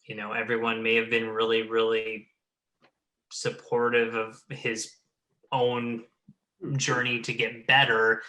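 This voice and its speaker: A man's voice: on the low side, bright, forceful tone, low-quality recording. A man hosting a chat, talking through creative ideas in a thoughtful, explanatory way. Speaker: podcast host. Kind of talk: reflective discussion of a creative project.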